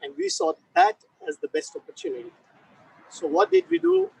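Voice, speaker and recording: A man's voice: very high voice, huge pitch swings, moderate pace, gravelly, dark tone. Distant man telling a story at a ceremony. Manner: chatty, loose, many fillers. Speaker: guest speaker at a ceremony. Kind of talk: informal speech, storytelling. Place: ceremony at a statue. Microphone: no microphone, far from the recorder. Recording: distant voice, faint background noise.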